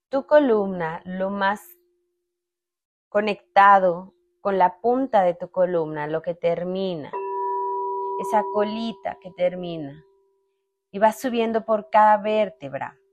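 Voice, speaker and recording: A woman leading a guided meditation in a calm, unhurried way, speaking in short phrases with long pauses. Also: medium pitch. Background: meditation music with sustained tones.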